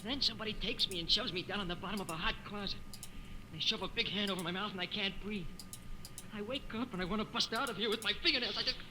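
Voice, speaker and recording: A man's voice: high voice, fast talker, close to an everyday voice. An actor in an old-time radio drama playing a troubled, wistful young crewman. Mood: wistful, uneasy. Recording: old radio recording, noisy.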